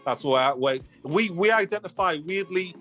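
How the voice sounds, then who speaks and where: A man from Newcastle with a Geordie accent, chatting as a guest on a casual podcast, animated and repeating himself as he talks. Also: high voice, normal speed, faint music behind. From Newcastle, England.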